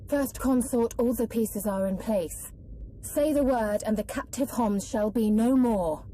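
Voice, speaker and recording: Actress as mellow, obedient conspirator. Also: female voice, fairly high pitch, unhurried, a little hiss or hum, close to an everyday voice, low-quality recording. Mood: conspiratorial. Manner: deferential, formal. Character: obedient, conspiring female servant of a royal villain. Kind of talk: fantasy video game acting.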